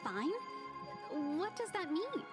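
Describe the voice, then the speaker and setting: A polite, formal female receptionist speaks in a high voice, with music clearly behind her. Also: very animated, takes it slowly, full character voice. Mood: polite, helpful. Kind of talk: video game voice acting.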